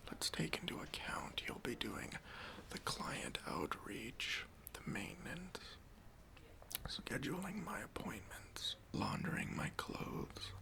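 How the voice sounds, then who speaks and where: A man playing a stingy, demanding boss in an ASMR roleplay, speaking in a breathy, hesitant whisper with condescending politeness, close to the microphone. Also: mid-range voice, sharp tone, exaggerated voice. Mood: smug, officious. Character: stingy, demanding male boss giving a job interview.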